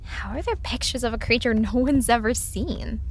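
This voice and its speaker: A woman's voice: a little high, normal speed, slight background noise, lightly acted. A woman playing a skeptical, anxious young woman in an audio drama, questioning everything in an emphatic, incredulous way.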